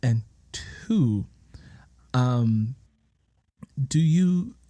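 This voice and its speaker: Man, interview host, mid-pitched, warm relaxed tone, reflective, deliberate phrasing. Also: no echo. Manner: deliberate, builds long questions with restatement.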